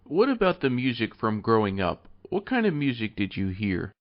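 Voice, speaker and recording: A male interview host with a mid-pitched voice and very lively intonation. He speaks in a formal, prepared way, and the audio is slightly dull. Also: moderate pace.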